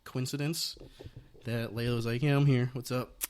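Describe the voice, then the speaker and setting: A young man in his twenties hosts a relaxed podcast conversation. His voice sits at a middle pitch, and he rambles playfully, often speeding up in quick bursts. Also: slight background noise. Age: 20s. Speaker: podcast host. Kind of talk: casual interview chat.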